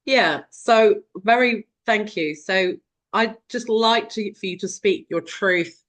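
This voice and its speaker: Slow halting woman host. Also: mid-range voice, a bit bright. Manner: halting, searching for words. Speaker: podcast host. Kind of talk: interview hosting.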